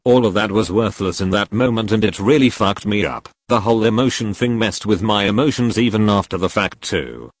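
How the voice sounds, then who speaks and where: A man narrating forum stories, reading each post straight through in one steady voice. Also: fairly low pitch, brisk, hardly any pauses, dry recording, studio quality. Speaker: narrator reading online posts.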